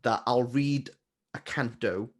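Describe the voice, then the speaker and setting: A man co-hosting a casual book chat, self-deprecating and quick to laugh. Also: mid-range voice. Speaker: booktuber co-host.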